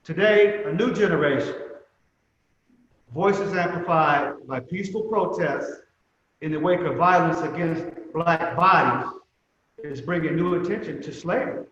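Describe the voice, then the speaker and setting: A man giving prepared remarks as a museum representative at a commemoration, speaking in a measured, emphatic way with deliberate pauses. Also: a little high, fairly bright tone. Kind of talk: prepared speech at a virtual commemoration. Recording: very echoey reverberant room, muffled, faint noise.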